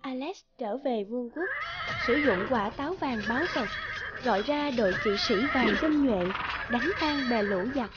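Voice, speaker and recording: A woman tells a cartoon story as its narrator. Her scripted delivery is fairly high in pitch. The sound is dull, with very loud noise behind it. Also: animated, normal speed.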